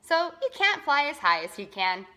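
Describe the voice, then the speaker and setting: A know-it-all male jackrabbit from a children's storybook, put on by a woman, speaks high and bright. He is blunt and sure of himself. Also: sing-song, moderate pace, heavily acted. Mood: smug. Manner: blunt, self-assured. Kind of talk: children's storybook read-aloud.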